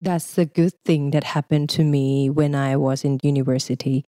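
A woman's voice: deep voice, fairly even intonation, moderate pace, mellow, no echo, studio quality. A young Thai woman with a Thai accent, speaking English as a second language in a casual, chatty interview and laughing often. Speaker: guest.

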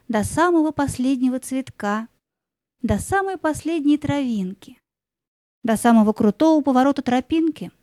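A woman narrating a scripted fairy tale in a gentle, lyrical storytelling manner. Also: on the high side, no echo, studio quality.

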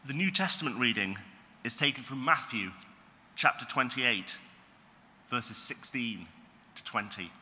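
A man reading Bible passages aloud in a measured, formal way. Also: medium pitch, animated, sharp tone, in a large hall, a little hiss or hum. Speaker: scripture reader.

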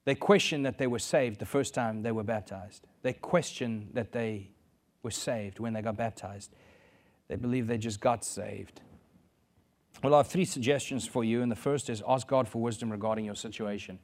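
A man preaches a sermon in English, earnest and direct. He leans on repetition, saying key phrases twice to press his point home. His voice sits at an ordinary middle pitch for a man. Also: moderate pace, darkish tone. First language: Afrikaans. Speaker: pastor.

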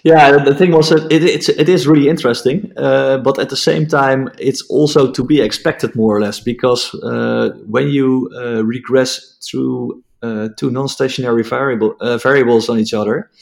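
A man with a Dutch accent, a native Dutch speaker, explaining a technical topic as an interview guest, halting with many fillers and restarts. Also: medium pitch, steady tone, creaky. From Netherlands.

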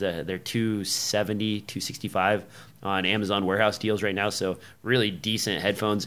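Male podcast host, lowish voice, rambling casual chat full of "uh"s. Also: a bit bright. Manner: rambling, many fillers, laughs easily.

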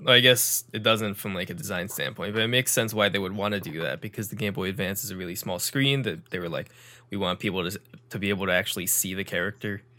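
A young man hosts a casual gaming podcast with a bright, forceful voice pitched a little low. He talks with energetic emphasis and a sarcastic edge. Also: quick pace. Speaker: podcast host.

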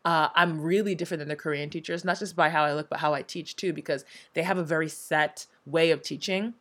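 Energetic young woman telling classroom stories. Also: deep voice, brisk. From Nigeria and America. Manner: energetic, expressive storyteller, acts out quotes. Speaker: English teacher in Korea. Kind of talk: casual chat.

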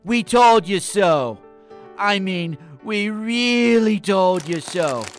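An actor playing a smug, cowardly rabbit celebrity in a comedic radio play, with a scolding, affected manner. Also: male voice, high-pitched, expressive, slow pace, faint music behind, full character voice. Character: rich, smug, cowardly male rabbit celebrity making announcement.

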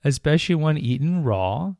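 A man's voice: a little high, very animated, moderate pace, creaky, a little mellow, no echo, studio quality. A man hosting a podcast, talking casually and informally, with fillers and self-corrections. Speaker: podcast host. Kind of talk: casual solo podcast talk.

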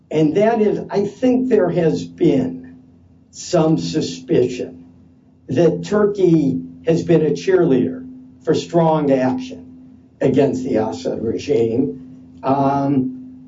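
A man speaking loudly at a conference panel, hesitant and halting with many fillers and restarts. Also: medium pitch, slight background noise. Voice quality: loud voice. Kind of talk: unscripted conference talk. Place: conference session room. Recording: distant, dull lo-fi conference audio.

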